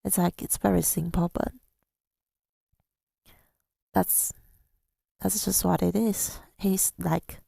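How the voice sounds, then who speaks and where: A woman telling a personal story in ASMR style, softly and breathily, often near a whisper, with a halting, reflective delivery. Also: fairly low pitch, vocal fry, dry recording. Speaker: ASMR creator.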